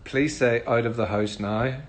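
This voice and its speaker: A middle-aged man with a Northern Irish accent, casually answering fan questions in a relaxed, chatty way with frequent ums. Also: medium pitch, a little slow. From Northern Ireland. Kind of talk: casual livestream Q&A.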